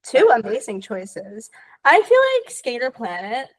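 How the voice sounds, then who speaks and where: A young woman hosting a podcast, asking quick rapid-fire questions in an upbeat, chatty way. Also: a little high, animated, poor audio. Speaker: podcast host. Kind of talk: casual interview.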